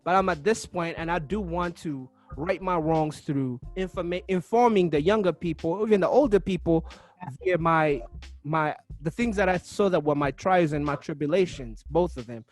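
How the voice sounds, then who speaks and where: Man raised in Uganda, podcast host, higher than usual register; reflective and halting, restarting his thoughts as he goes. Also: faint music behind. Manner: thoughtful, searches for words, many restarts. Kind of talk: casual interview chat.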